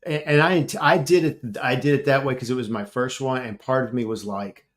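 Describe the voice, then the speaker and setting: Man, voice actor, lively anecdotes, laughs a lot, mid-pitched voice. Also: a little fast. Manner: animated storyteller, laughs often, repeats himself. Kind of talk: casual panel chat.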